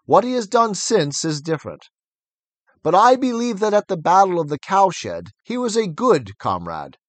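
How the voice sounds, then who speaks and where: A man voicing Boxer, a big, slow, honest male workhorse who speaks plainly and earnestly. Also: high-pitched, sing-song, no room sound. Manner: plodding, earnest, simple.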